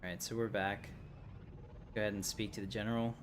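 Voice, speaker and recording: A man's voice: on the low side, little pitch movement, fast talker, noisy background. A man hosting a game livestream, rambling loosely and chuckling as he plays. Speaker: livestream host. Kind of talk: casual gameplay commentary.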